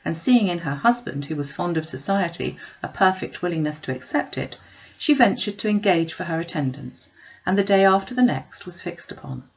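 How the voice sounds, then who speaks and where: Low, creaky-voiced woman reading a classic novel. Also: expressive, moderate pace, highs cut off. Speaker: audiobook reader. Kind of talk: scripted narration of a classic novel.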